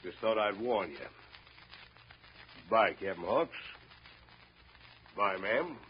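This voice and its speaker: An actor playing a stern, menacing small-town sheriff in a 1930s radio drama, blunt and drawling with an American accent. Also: male voice, lowish voice, expressive, slow pace. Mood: cold, warning. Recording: muffled, noisy 1930s radio broadcast recording.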